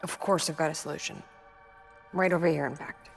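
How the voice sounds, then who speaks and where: A game engineer woman, lowish voice, calm n candid, explains stuff plainly, music playing behind. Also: fairly quick, gravelly. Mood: matter-of-fact, concerned. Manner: conversational, explanatory. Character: candid female engineer, calm and matter-of-fact. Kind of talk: video game cutscene dialogue.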